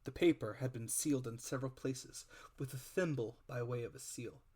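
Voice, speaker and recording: A male audiobook reader narrates a classic adventure tale. His mid-pitched voice moves through the prose deliberately, with the phrasing of a dramatic storybook reading. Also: darkish tone, slightly rough.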